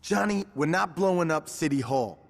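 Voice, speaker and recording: An actor playing a cool-headed, ruthless young gang lieutenant in a video game, terse, profane and calculating. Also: male voice, on the high side, animated, normal speed, gravelly, in a large hall.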